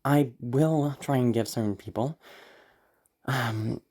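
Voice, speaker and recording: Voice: medium pitch, slow, a little mellow. A content creator with a congested voice, rambling casually to viewers in an anxious, apologetic, giggly way, with some swearing. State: congested from being sick. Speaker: audio roleplay creator.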